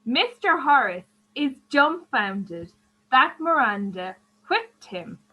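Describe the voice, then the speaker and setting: A woman's voice: high-pitched, very animated, slow, bright, forceful tone, rough recording. A woman reading scripted stage directions as the narrator of a radio play.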